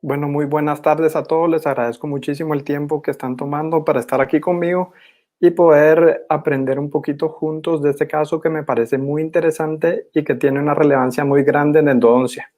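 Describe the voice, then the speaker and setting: A young man gives a formal academic presentation in a measured, didactic manner as a postgraduate student. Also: a little high, steady tone, a little mellow. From Guatemala. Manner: measured, didactic, clearly enumerating.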